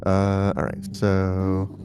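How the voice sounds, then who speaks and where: Very deep-voiced man streaming casually. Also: completely flat delivery, very slow, music in the background. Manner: mumbling asides while setting up. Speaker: streamer host.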